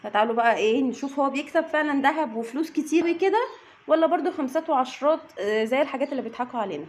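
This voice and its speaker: Fast-talking Egyptian woman vlogger. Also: high voice, animated. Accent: Egyptian Arabic. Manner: chatty, addresses viewers directly, counts items aloud. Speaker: family vlogger and mother. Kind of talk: casual unboxing vlog chat.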